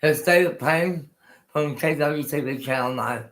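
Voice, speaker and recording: A man's voice: fairly high pitch, unhurried, a bit bright. A man in his sixties with no teeth, so his speech is unclear at times, chatting in a rambling way as host of his own live stream. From Colorado, USA. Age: 60s. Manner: rambling, repeats himself, restarts often. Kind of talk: casual live-stream chat.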